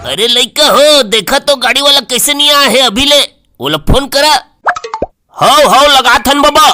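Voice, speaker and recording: A bright, sharp cartoon villager acted out by a performer with a Chhattisgarhi accent. The voice sits high and teases in quick exclamations, like a young wedding guest bickering for laughs. There is faint noise in the recording. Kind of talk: comic cartoon dialogue.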